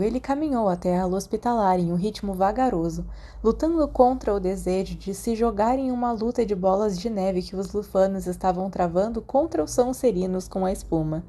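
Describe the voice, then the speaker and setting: Female Brazilian narrator for fiction audiobook, soft dark tone, mid-range pitch. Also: slight background noise. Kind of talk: scripted fan fiction narration with acted dialogue.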